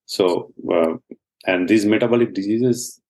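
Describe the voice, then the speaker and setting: Deep-voiced Indian man explaining his work. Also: a little slow, darkish tone, low-quality recording. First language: Hindi. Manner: earnest, explanatory, frequent uh fillers, laughs at times. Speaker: scientist guest. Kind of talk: podcast interview.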